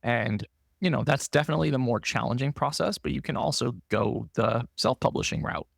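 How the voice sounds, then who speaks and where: A man hosting a solo podcast, talking earnestly and encouragingly to listeners, with plenty of "um" and "like", his voice going hoarse. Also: mid-range voice, a little fast, no echo, studio quality. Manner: earnest, encouraging, frequent fillers like "um" and "like". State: losing his voice. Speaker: podcast host. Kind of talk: solo podcast monologue, coaching tone.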